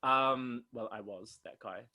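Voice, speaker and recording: A man's voice: mid-range voice, a little slow, pushed and bright. An Australian man co-hosting a podcast, chatting casually and self-mockingly, drifting off on tangents. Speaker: podcast co-host. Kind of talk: casual chat.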